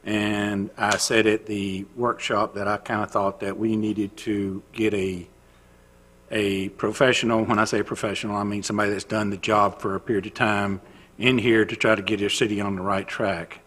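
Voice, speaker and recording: A man on a city council, speaking haltingly with many restarts before stating his position firmly. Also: on the low side, flat delivery, a bit bright. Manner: halting, many restarts and fillers, then firm. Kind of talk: formal remarks at a council meeting. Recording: long reverb of a council chamber.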